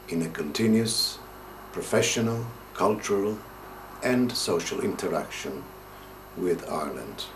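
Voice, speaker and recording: An older man narrating a documentary in a measured, formal way, reading from a script. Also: low-pitched. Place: room with bookshelves. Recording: noisy home-made video recording.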